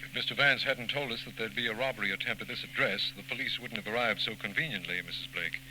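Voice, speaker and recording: An actor playing an earnest, skeptical district attorney with a businesslike manner in a 1940s radio crime drama. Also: male voice, lowish voice, fast, natural voice. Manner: businesslike, matter-of-fact. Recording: noisy, humming old radio recording.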